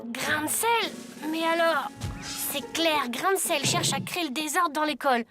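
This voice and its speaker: An actress playing an earnest, anxious young schoolboy in a cartoon, with a dramatic, sometimes hesitant delivery. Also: female voice, high voice, lively intonation, measured pace, over music. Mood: worried, determined.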